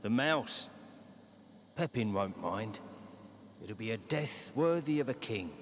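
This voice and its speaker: A man voicing a dead grandfather who speaks through a pet mouse, coaxing in a measured, grandiose way. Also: medium pitch, lively intonation, slight background noise. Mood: insistent, grandiose.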